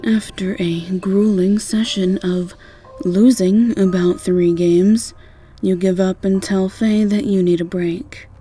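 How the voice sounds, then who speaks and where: A woman's voice: medium pitch, fairly even intonation, slow, warm tone, breathy edge, soft background music. A woman narrating a scripted second-person fantasy story as the narrator of an audio roleplay.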